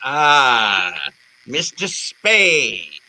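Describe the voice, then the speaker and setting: Genial fat mastermind, theatrical radio acting, unhurried delivery, animated intonation, wordy, sly. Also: male voice, mid-range voice, vocal fry, full character voice. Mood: genial, measured. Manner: florid, theatrical, deliberate phrasing. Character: jovial, wordy, calculating fat crime boss. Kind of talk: old-time radio drama acting.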